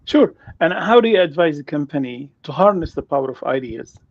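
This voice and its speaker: A man's voice: on the high side, lively intonation, brisk, dry recording. A man hosting an online panel, introducing his guests and asking them questions in an enthusiastic, encouraging way. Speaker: host. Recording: dry, dull call audio.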